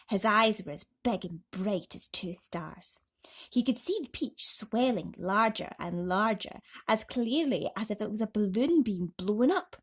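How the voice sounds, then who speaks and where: A woman's voice: medium pitch, husky. A woman reading a children's bedtime story expressively, with dramatic emphasis, as a host talking to young listeners.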